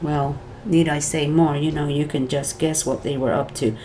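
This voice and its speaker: A woman's voice: low-pitched, steady tone, normal speed, warm tone, slight background noise. A woman with a Wisconsin accent, talking her viewers through a day in court in a meandering, chatty way that drifts off topic and back. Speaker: vlogger recapping a court trial. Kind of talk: rambling casual commentary.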